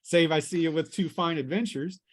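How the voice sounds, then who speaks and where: A man's voice: fairly high pitch, moderate pace, natural voice. A man voicing a friendly, burly village blacksmith with a folksy, avuncular manner in a tabletop fantasy game. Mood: surprised, familiar. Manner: folksy, avuncular, old-fashioned phrasing.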